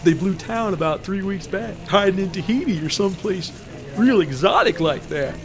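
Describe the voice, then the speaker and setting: High, creaky-voiced sly crook, played by a man. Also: animated, normal speed, a crowd in the background. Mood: gleeful. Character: gleeful, sly 1920s male crook. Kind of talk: 1920s old-time radio drama acting.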